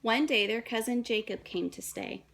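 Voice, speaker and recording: A woman, a young adult, reading a children's story aloud in a dramatic, deliberate way with shifts between emphasis and hush. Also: fairly high pitch, gravelly, slight background noise. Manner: dramatic, deliberate phrasing with hushed and emphatic moments.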